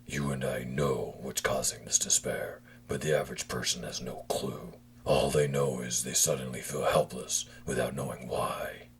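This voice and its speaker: A man playing a grim masked vigilante, grave and commanding yet reassuring, in a gravelly near-whisper for an audio drama. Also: very deep voice, fairly even intonation, a little hiss or hum. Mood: urgent, reassuring. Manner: grave, deliberate, urging.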